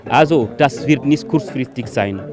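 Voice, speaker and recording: A man's voice: medium pitch, moderate pace, crowd noise. A man who organized the protest, explaining its reasons earnestly and often starting with a hesitant sound. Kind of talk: street interview answers.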